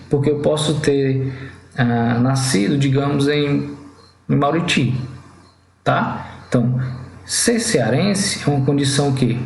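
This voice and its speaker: A man's voice: fairly high pitch, creaky, a little mellow, echoey room, a little hiss or hum, low-quality recording. A Brazilian man teaching an exam-prep logic lesson at a whiteboard, explaining step by step and often checking in with the students. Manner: didactic, frequent "tá?" and "né?" checks, slows on key words.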